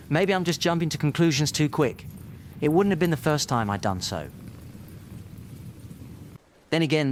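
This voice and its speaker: An actor playing a clever, troubled time traveller in an audio drama, talking to himself with intense questioning. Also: male voice, a little high, slight background noise, lightly acted.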